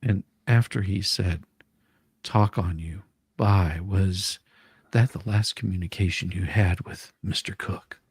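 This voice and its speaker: Gravelly-voiced man, casual and halting. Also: sits low, fairly even intonation, no room sound, studio quality. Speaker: co-host reading a deposition transcript aloud. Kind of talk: casual banter and dramatic reading of a transcript.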